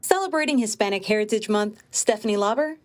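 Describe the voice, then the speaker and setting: A woman narrates a local news feature in a steady, measured voice. Her pitch sits in an ordinary middle range. There is a faint hiss of noise behind her. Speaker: news meteorologist reporter.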